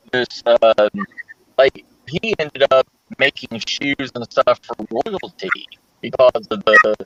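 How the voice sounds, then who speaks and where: A man's voice: medium pitch, sharp tone, no echo. A man hosting a casual group chat, rambling with many restarts and fillers. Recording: dull dry lo-fi sound.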